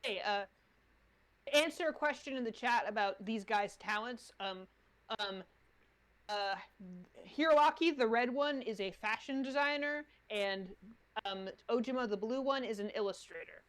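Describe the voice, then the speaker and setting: Wry woman streamer with a higher voice. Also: measured pace. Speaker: streamer reacting to a game series. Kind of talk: casual live reaction chat.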